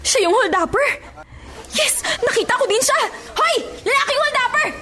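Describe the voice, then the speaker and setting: Giddy, boy-crazy young Filipina, lively swoops. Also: very high voice, normal speed, a little hiss or hum, exaggerated voice. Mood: shocked, excited.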